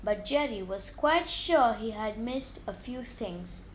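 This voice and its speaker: A woman reading moral stories aloud for children in an expressive, deliberate, scripted storytelling manner. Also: on the high side, slight background noise, rough recording.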